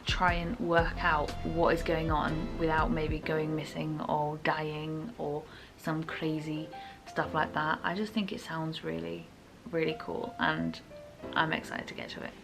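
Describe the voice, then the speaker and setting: A woman's voice: deep voice, little pitch movement, a little slow, music in the background. A young woman chatting informally to viewers about books, often qualifying what she says, in a casual, chatty way. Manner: chatty, informal, hedging her opinions. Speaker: book reviewer.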